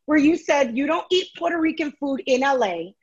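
High, lively New York woman host. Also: normal speed, highs cut off. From New York City. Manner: chatty, full of "like" and "right?". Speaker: podcast co-host. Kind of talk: casual podcast chat.

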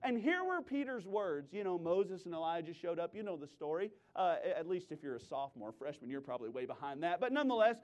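A man preaching in an emphatic sermon style, with sudden loud exclamations and playful, self-deprecating humor. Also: a little high, sing-song, fast, hardly any pauses. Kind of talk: animated sermon with humorous storytelling.

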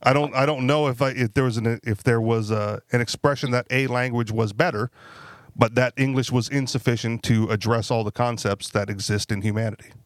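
A man's voice: mid-range voice, steady tone, brisk, no room sound, studio quality. A man co-hosting a podcast chat, talking loosely with fillers and frequent tag questions. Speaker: podcast co-host. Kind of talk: casual panel discussion.

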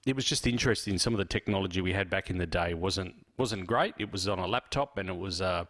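An Australian man hosting his podcast and introducing a retrospective episode in a relaxed, rambling way with lots of fillers. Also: low voice, fast talker. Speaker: podcast host. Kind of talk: casual solo intro.

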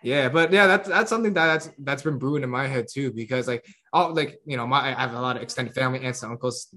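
A man chatting casually on a podcast, animated, laughing often and tripping over repeated words. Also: medium pitch, fast. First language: English. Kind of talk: casual chat.

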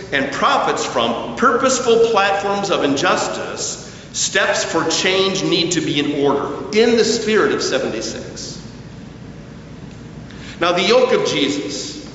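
A man preaching a sermon at a slow, deliberate pace, his voice rising and falling with emphasis. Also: on the high side, a little hiss or hum. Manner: slow, deliberate preaching with lively rises and falls. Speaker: pastor. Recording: echoey church hall, distant mic, dull sound.

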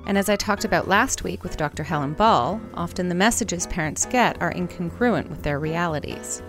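Woman reading a scripted intro over soft music. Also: fairly low pitch. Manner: measured, read from a script. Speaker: podcast host.

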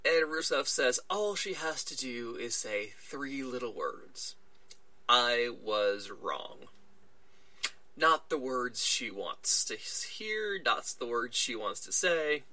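A man's voice: mid-range voice, very animated, slow pace, bright, forceful tone, no room sound. A man hosting a casual podcast, chatting through a wrestling show recap and acting out little quoted lines along the way. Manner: chatty, retelling events with little quoted voices. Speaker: podcast host.

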